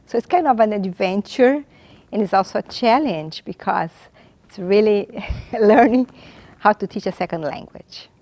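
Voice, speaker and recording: A woman's voice: medium pitch, darkish tone, no room sound. Brazilian woman in her 50s, Portuguese native speaker; earnest documentary interviewee who often restarts her sentences. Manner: earnest, halting, with frequent restarts. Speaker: graduate student and legal translator.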